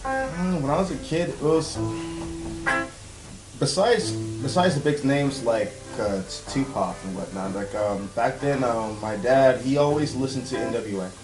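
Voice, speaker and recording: A man speaks as a guest in a laid-back chat, rambling loosely and filling gaps with "like" and "you know." His voice is at a middling pitch. The room is echoey, with guitar music playing clearly behind him. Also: a little slow. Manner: relaxed, rambling with fillers. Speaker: band member guest. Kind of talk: casual chat.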